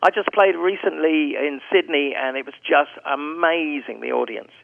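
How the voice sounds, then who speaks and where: Gravelly older man on a phone line. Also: fairly high pitch, normal speed, dry recording. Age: 70s. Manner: chatty, rambling with restarts and anecdotes. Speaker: guest singer. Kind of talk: casual interview chat.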